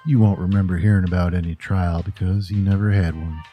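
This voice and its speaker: An actor playing a brusque prison warden in a horror audio drama, speaking in a dry, procedural, authoritative way. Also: male voice, low-pitched, steady tone, fairly quick, lo-fi sound, faint music behind, close to an everyday voice. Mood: businesslike.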